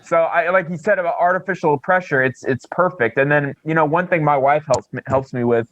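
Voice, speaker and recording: A man's voice: fairly high pitch, a little fast, fairly bright tone, dry recording, dull audio. A man chatting casually as a podcast co-host, swearing freely and poking fun at himself by acting out imagined quotes. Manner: sweary, self-deprecating, acts out imagined quotes.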